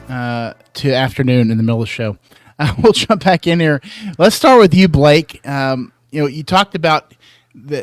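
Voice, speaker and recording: A man's voice: mid-range voice, no room sound. Casual male podcast host, dry close sound. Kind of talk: casual talk show interviewing.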